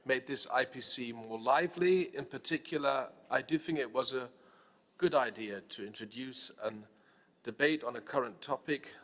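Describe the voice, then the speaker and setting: A man's voice: mid-range voice, a bit bright. Formal male delegate in a reverberant hall. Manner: measured and formal, with occasional fillers. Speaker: parliamentary delegate. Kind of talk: formal conference speech.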